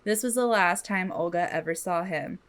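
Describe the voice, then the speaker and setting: A woman's voice: fairly low pitch, normal speed, fairly bright tone. A woman co-hosting a podcast, telling a true crime story from notes in an informal, slangy way with some swearing. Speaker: podcast co-host.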